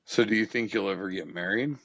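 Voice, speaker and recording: A man's voice: on the low side, fast, gravelly, fairly bright tone, breathy edge. A man in his forties hosting a casual interview podcast, asking blunt questions and swearing freely. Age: 40s.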